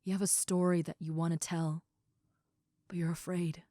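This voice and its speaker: A woman playing a big, caring female ogre roommate in an intimate comfort roleplay, speaking in a soothing, earnest way with pauses and soft moments. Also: on the low side, level tone, no room sound, clean studio sound, close to an everyday voice. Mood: tender, sincere. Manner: soothing, earnest, hushed at times, with pauses and sighs. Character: gentle, caring seven-foot-six muscular female ogre roommate.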